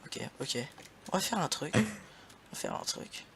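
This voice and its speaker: Lively, bright-voiced young gamer man. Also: high voice, unhurried. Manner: laughs a lot, swears playfully, excitable. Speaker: gaming channel host. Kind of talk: casual gaming chat.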